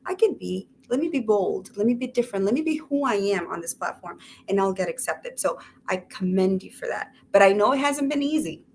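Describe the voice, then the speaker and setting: A woman's voice: mid-range voice, lively intonation, brisk, hardly any pauses, darkish tone, slight background noise. A woman co-hosting a casual interview chat, encouraging and enthusiastic toward her guest. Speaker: co-host.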